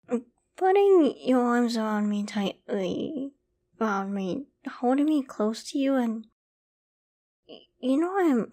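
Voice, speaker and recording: A woman's voice: a little high, exaggerated voice. A woman playing a shy, loving, easily flustered young girlfriend in an intimate ASMR bedtime roleplay, speaking tenderly and hesitantly. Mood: shy pouting. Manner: tender, hesitant, soft-spoken with stammers.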